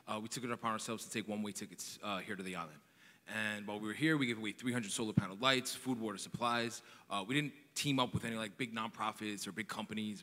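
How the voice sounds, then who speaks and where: A man from New York speaking on a panel with a bright, forceful edge to a lowish voice. He tells his story with earnest energy, and a large hall's long reverb trails after his words. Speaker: panelist, nonprofit founder. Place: large conference hall.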